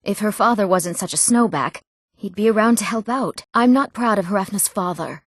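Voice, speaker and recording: An eager young village woman from a fantasy game, acted by a woman. She speaks in an earnest, clearly enunciated, slightly theatrical way at a medium pitch, recorded dry and close. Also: moderate pace, no echo, lightly acted. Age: young adult. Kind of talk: scripted fantasy video game voice lines.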